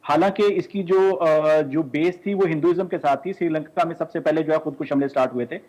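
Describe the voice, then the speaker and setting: Higher-pitched, hesitant male debate panelist. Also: a little mellow, highs cut off. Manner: hesitant delivery with frequent pauses, polite. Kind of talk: explanatory TV debate response.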